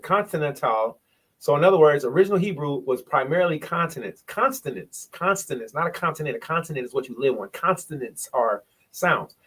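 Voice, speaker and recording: A man's voice: a little high, a bit bright. A man presenting in a bible study, explaining points from a shared screen and sounding out syllables, often repeating himself.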